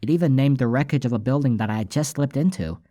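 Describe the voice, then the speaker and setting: A man's voice: medium pitch, fast talker, mellow, no echo. A man reading scripted first-person story narration as an audiobook narrator.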